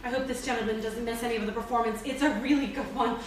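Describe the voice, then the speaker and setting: A woman's voice: a little high, steady tone, quick pace, natural voice. A woman playing a helpful, earnest middle-aged office manager in a staged play reading. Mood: apologetic, frustrated. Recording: very echoey hall, distant mic, faint noise.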